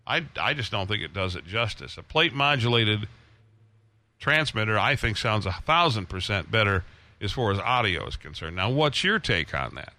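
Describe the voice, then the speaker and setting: Bright-voiced man rambling casually. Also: on the low side, sing-song, normal speed, dry recording. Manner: rambling, many fillers and restarts. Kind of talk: casual ham radio chat.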